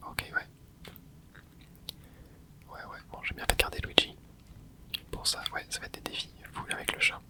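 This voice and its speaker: A person whispering relaxed running commentary over a video game, with soft breathy tones, close to a microphone indoors. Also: normal speed, long pauses. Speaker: gaming ASMR host.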